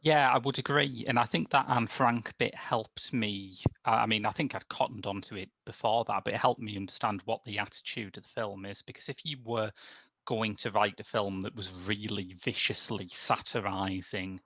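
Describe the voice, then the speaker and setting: A man's voice: on the low side, steady tone, normal speed, a bit bright, dry recording. A man giving casual, thoughtful and wry film analysis on a video call. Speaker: podcast film reviewer.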